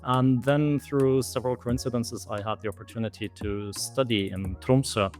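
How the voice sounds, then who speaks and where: A man's voice: mid-range voice, steady tone, moderate pace, a little mellow, faint music behind. Man explaining thoughtfully. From southeast Germany. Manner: thoughtful, explanatory, lightly amused. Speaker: guest, language course author. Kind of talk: conversational interview answers.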